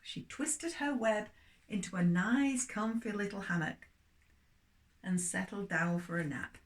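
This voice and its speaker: Slow-paced older woman telling children's stories. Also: medium pitch. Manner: expressive, addressing small children, drawn-out words. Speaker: storyteller for young children. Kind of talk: children's story narration.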